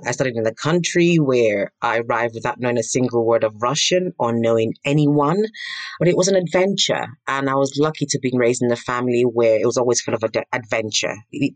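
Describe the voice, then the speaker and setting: A middle-aged woman from Nigeria, telling her story as an interview guest in an animated, emphatic, self-assured way. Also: a bass voice, no room sound. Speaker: guest, entrepreneur. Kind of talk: interview answers.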